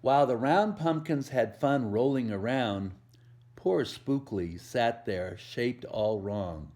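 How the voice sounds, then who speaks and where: A man reads a picture book to small children at a very unhurried pace, in a mid-pitched voice. He leans on the rhymes and pauses to explain things simply or put a question to the young listeners. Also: darkish tone. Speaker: volunteer children's story reader. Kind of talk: read-aloud picture book storytime for preschoolers.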